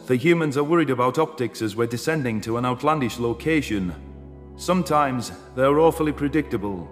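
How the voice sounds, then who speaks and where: A man narrates a story in the first person from a script. His voice sits at a medium pitch. Music plays clearly behind him. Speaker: audiobook narrator.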